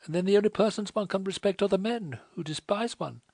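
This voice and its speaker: A man voicing a tearful young French woman who pleads in a rapid, sighing way. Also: a little high, a little mellow, no echo, voice pushed up, natural voice. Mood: pleading.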